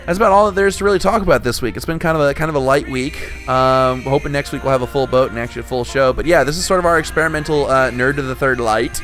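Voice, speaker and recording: A man's voice: mid-range voice, quick pace, few pauses, a bit bright, faint music behind. A young man hosting a casual podcast, talking in rambling, restart-heavy stretches with some swearing. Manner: rambling, full of restarts and profanity. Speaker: podcast host.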